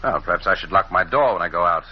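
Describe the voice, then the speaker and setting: An actor playing a cool, flippant suspect in an old radio mystery, with a wry, mockingly polite manner. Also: male voice, sits low, animated, fast talker, husky. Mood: wry, unruffled. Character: suave, flippant male suspect, cool and sardonic. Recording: muffled, noisy old radio recording.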